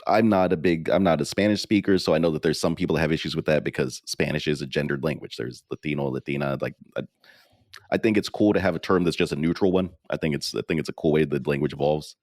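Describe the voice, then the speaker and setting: A man's voice: rumbling low, fast talker, a little mellow, dry recording, clean studio sound. A man hosting a podcast, talking in a casual, conversational way. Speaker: podcast host.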